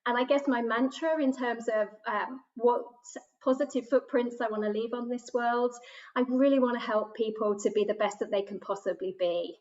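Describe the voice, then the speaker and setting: A woman panelist introducing herself in an online panel, speaking in a measured way with frequent pauses. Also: a little high, steady tone, a little mellow, highs cut off. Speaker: panelist, HR executive.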